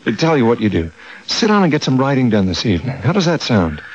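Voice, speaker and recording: An actor playing an easygoing, reassuring husband in a conversational radio drama style. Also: male voice, mid-range voice, very animated, fast, heavy background noise, lightly acted. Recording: dull lo-fi radio recording.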